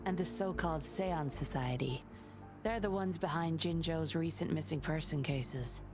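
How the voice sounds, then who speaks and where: A woman playing a composed, sly undercover patroller in a video game, coolly confident and measured. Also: low voice, lightly acted. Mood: serious, cool. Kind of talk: scripted video game voice acting. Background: game music.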